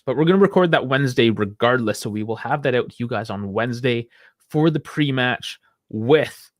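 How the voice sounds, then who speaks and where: A man's voice: medium pitch, dry recording. Chatty man on a dry mic. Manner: chatty, rambling, laughs often. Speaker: podcast co-host. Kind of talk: casual sports chat.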